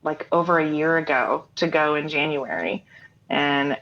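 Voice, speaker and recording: Low-voiced chatty woman, lo-fi call. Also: a little slow, a bit bright. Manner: chatty, rambling with fillers and laughs. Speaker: interview guest, singer-songwriter. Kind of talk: casual interview chat.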